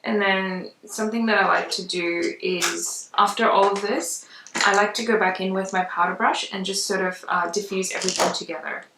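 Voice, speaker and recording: A woman's voice: medium pitch, steady tone, normal speed, noisy background. A woman giving a casual makeup tutorial in a chatty, conversational way. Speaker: makeup vlogger. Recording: roomy echo, distant mic.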